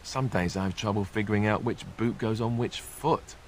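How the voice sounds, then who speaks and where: An actor playing a self-deprecating, reluctant young royal bastard in a video game, speaking in a wry, sarcastic manner. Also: male voice, on the low side, moderate pace, a little hiss or hum, lightly acted.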